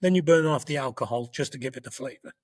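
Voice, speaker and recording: A man's voice: medium pitch, fast talker, no echo, clean studio sound. A middle-aged man, a chef born in Kenya and raised in London, talking through a recipe step by step in a casual interview, with frequent ums. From born in Kenya, grew up in London. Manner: relaxed, explains recipes step by step with many ums. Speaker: chef guest.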